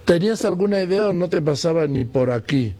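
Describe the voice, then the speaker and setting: A man's voice: high-pitched, expressive, fairly quick, warm tone. A man in his seventies interviewing a guest, conversational, prompting with dates and history. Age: 70s. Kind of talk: casual interview.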